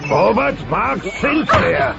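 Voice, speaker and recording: A man's voice: sits high, very animated, takes it slowly, creaky, over loud music, full character voice. An actor playing a grumpy, indignant middle-aged dinosaur father, theatrical and bossy, in a dubbed comedy. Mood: outraged. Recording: dull cassette transfer with noise.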